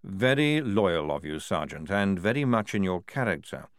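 A man voicing a senior male army general who speaks in a measured, unhurried, quietly commanding way. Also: low-pitched, big pitch swings, clean tone, no echo, clean studio sound. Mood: calm, firm.

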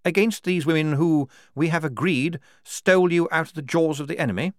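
A man voicing a brisk, tetchy army major in an audiobook, arguing in a formal, precise way. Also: a little high, dry recording, clean studio sound, voice pushed up, natural voice. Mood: challenging. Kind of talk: audiobook character dialogue.

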